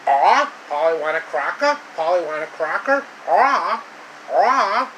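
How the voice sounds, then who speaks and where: A doting storybook parrot, done by a man, raised high and cooing over its owner. The recording has a clear hum behind it. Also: sing-song, slow, heavily acted, poor audio. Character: doting pet parrot comforting its mistress. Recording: loud room hum and hiss.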